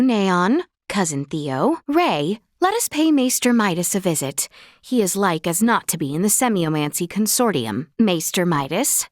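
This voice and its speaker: An actress playing an earnest, gracious young princess in a fantasy video game, with a clear youthful voice and formal, measured, archaic courtly phrasing. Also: female voice, mid-range voice, dry recording, clean studio sound. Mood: polite, composed. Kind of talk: scripted video game voice acting. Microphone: close studio mic, dry.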